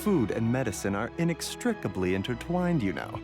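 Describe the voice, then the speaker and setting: An actor playing a gentle, scholarly, long-winded herbal pharmacist who speaks politely and formally in a fantasy game. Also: male voice, mid-range voice, sing-song, fairly quick, music in the background. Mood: lecturing. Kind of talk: anime fantasy game voice acting.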